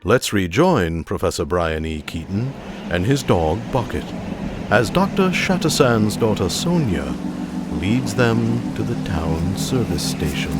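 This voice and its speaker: A man's voice: on the low side, animated, unhurried. 1930s radio announcer, male, hearty, suave, sweeping intonation, scripted narration, salesman polish, music underneath. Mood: suave, storytelling.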